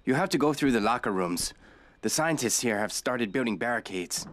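A man playing a friendly guard in a survival horror game. His voice is mid-pitched, and he gives directions briskly and politely. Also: animated, fairly bright tone, close to an everyday voice. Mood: impressed, brisk. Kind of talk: video game voice acting.

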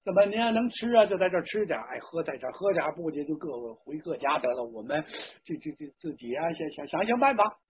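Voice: mid-range voice, sing-song, fast talker, few pauses, breathy edge, phone-quality sound. A storyteller voicing a flustered old father in a traditional tale, pleading and resigned, stammering and repeating words.

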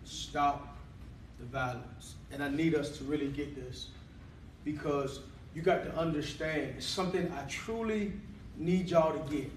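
A man preaching a sermon in a church hall, calling for responses and rising to shouts, recorded by a far-off camera. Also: a little high, measured pace, a little hiss or hum. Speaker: pastor.

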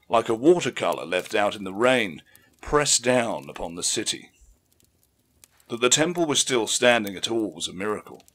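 Male narrator, fairly low register, for dramatic fiction audiobook; deliberate, solemn storytelling. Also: a bit bright.

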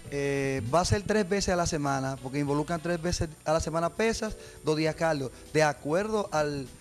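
A man's voice: fairly high pitch, moderate pace, soft background music. A young man, a gym trainer explaining his program in a TV studio interview, earnest and hesitant, into a handheld mic. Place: TV studio set.

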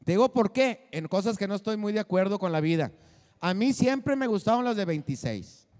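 A man's voice: high voice, animated, fairly quick, darkish tone, in a large hall. A man, hosting a casual comedic monologue with crude jokes and lots of fillers, talking into a handheld mic at a desk. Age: 40s. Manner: rowdy, crude joking, many fillers. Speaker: comedian and show host. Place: small studio set with drum kit behind a desk.

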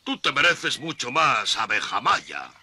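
An actor playing a formal, military ant colonel, stern but courteous, in a dubbed children's cartoon. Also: male voice, mid-range voice, wildly swooping pitch, unhurried, pushed and bright, husky, full character voice. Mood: courteous, pleased. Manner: formal, military, barking commands.

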